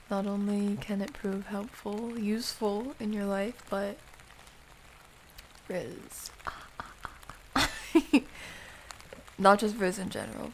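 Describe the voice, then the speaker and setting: A woman hosting an ASMR livestream, chatting casually and softly with viewers in a playful, affectionate, unhurried way, sometimes close to a whisper. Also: mid-range voice, slight background noise, poor audio. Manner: soft-spoken, unhurried, drifting into whispers.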